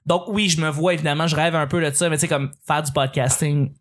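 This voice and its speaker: A man with a Quebec French accent who hosts the podcast and asks the guests questions in a relaxed, hesitant way. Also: on the high side, fast. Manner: easygoing, hesitant, many "euh" fillers. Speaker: podcast host. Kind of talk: casual podcast chat.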